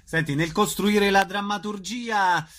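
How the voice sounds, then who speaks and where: A man's voice: sits high, steady tone, unhurried, fairly bright tone. A man hosting a live radio interview, putting questions to a guest.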